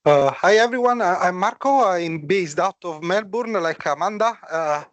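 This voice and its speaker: A man's voice: sits high, animated, moderate pace, dry recording, lo-fi sound. A man with an Italian accent introducing himself to a meetup audience, hesitant and full of "uh" fillers. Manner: hesitant, many "uh" fillers and restarts. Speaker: guest speaker, solution engineer. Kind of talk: casual self-introduction at a meetup. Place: meetup room with a live audience.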